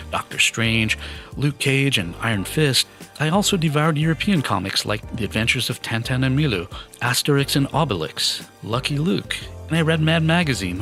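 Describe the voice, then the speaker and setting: A man in his fifties whose first language is Farsi narrates his life story from a script, earnest and measured. His voice is mid-pitched with a gravelly, creaky edge. Faint music plays quietly behind him. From Iran.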